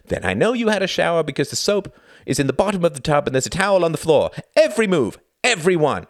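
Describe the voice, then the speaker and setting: A man's voice: mid-range voice, wildly swooping pitch, a little fast, creaky, a bit bright, no echo, clean studio sound, pitched above the performer's own voice, heavily acted. A man playing a sarcastic, furious young husband, ranting and condescending in acted audiobook dialogue. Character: enraged sarcastic husband, young graduate student in a failing marriage.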